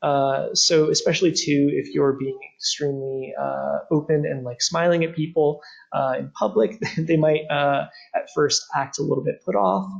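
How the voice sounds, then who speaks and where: Husky young man presenting a webinar. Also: fairly high pitch, fairly even intonation, normal speed, dull audio. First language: not Czech. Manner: frequent "uh" fillers, earnest and explanatory. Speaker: study abroad program presenter. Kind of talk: webinar presentation over slides.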